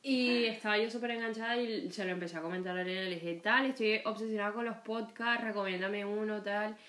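A woman in her late twenties with a Colombian accent, co-hosting a casual podcast chat with a friend, chatty and quick to laugh. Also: medium pitch, steady tone, a bit bright, roomy sound. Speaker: podcast co-host.

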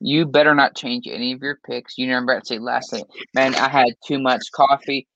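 A man's voice: medium pitch, steady tone, fairly quick, fairly bright tone, slight background noise. A man co-hosting a sports podcast, talking emphatically and teasing his co-host in a casual sign-off. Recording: dry, dull lo-fi mic.

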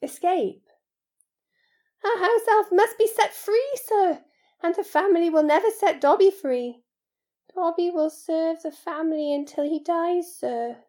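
A woman voicing a small, squeaky, breathy male house-elf in an audiobook, servile, fearful and devoted, pleading in the third person. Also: a little slow, dark tone. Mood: resigned, servile.

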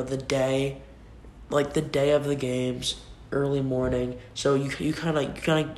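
A young man with a cold hosting a casual solo sports podcast, rambling and restarting sentences as he makes his picks. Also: medium pitch. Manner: rambling, restarts often, informal. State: has a cold. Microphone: computer mic. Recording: echoey room with faint noise.

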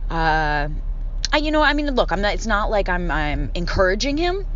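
A woman's voice: medium pitch, normal speed. A young woman from Philadelphia with a slight Philadelphia accent, musing wryly in a rambling casual monologue, with many fillers and restarts, alone in a parked car. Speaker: podcast host. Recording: dull lo-fi sound with steady noise.

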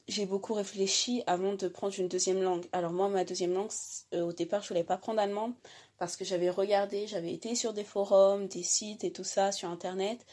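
A teenage girl, a high school student, telling her own story haltingly with many fillers and restarts. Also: mellow. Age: teens. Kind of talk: personal testimony.

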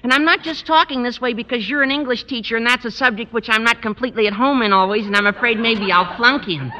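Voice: medium pitch, fast talker, bright, forceful tone, natural voice. A performer playing an eager, earnest teenage schoolboy who gushes politely, in old radio comedy style on a dull vintage recording. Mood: earnest, flattering. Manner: gushing, wordy, over-polite. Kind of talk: 1940s-50s radio comedy acting.

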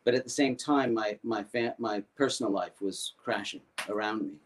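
An older American man telling a personal story in a halting way, often repeating and restarting his words. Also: on the low side, slight background noise. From United States. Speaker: foundation founder.

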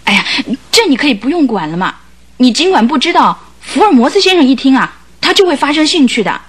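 An actress in a radio drama playing a troubled, secretive wife of a lion tamer, plaintive and full of sighs. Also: female voice, sits high, big pitch swings, fast. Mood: pleading, secretive. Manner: plaintive, sighing.

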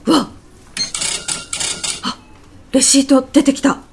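A woman's voice: high voice, fairly even intonation, slow pace, gravelly, a little mellow, a little hiss or hum, full character voice. A woman playing a polite, bewildered part-time supermarket cashier in a comedy radio drama, using wary, courteous service speech. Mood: uneasy. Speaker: podcast actor.

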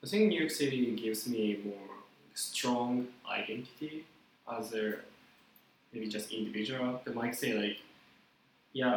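A young man from Japan with a Japanese accent, speaking halting English and searching for words as an interview guest. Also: fairly low pitch. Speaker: musician guest. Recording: echoey room, light noise.

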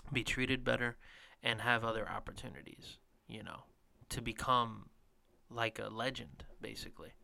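A man co-hosting a sports podcast, rambling casually and letting his sentences trail off. His voice is bright and smooth. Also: medium pitch. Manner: rambling, filler-heavy, trails off quietly. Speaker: podcast co-host.

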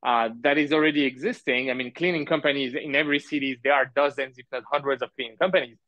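A man who grew up in France, a podcast guest telling his story casually in a halting, rambling way full of asides. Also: medium pitch, fairly even intonation, sharp tone, dry recording. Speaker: podcast guest, business owner. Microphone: remote call. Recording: dull lo-fi audio, close and dry.

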